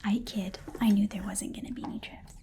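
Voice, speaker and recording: Softly whispering woman, mellow ASMR roleplay. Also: mid-range voice, steady tone, a little fast. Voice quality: soft breathy whisper. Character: fussy, playful female magical item appraiser. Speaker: ASMR performer.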